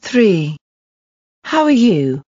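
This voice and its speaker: A woman reading short scripted lines for a language listening test, deliberate and clearly enunciated. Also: mid-range voice, big pitch swings, dark tone, no echo, lo-fi sound.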